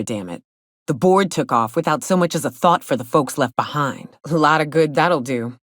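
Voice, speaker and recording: Casting: a gruff, hard-drinking frontier huntress for a game. Low-pitched, folksy and sardonic, with swooping, animated delivery. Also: female voice, no echo, studio quality. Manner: folksy, blunt, sardonic drawl. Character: hard-drinking, gruff, wry frontier woman guide and hunter. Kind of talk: video game character dialogue.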